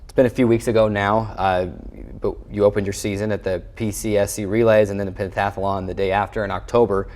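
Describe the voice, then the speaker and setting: Man, American accent, low voice, sports show host, broadcast interview style. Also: little pitch movement, a little fast, a little mellow.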